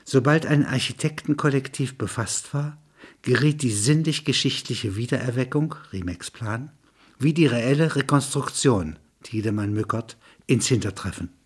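A man reading scripted literary prose in a measured, sober manner, with a husky, rough-edged voice. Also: medium pitch. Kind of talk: scripted narration of a literary audio piece.

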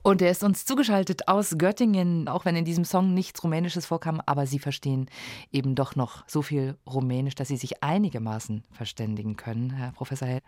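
A woman with a lowish voice hosts a radio interview from the studio. She asks calm, probing questions. The recording is close and dry. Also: brisk, no room sound, faint music behind. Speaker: radio host.

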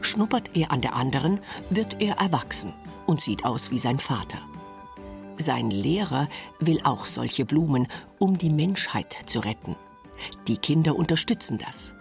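A woman reading scripted narration for a radio documentary, measured and softly spoken, over a music bed. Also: deep voice, big pitch swings, creaky.

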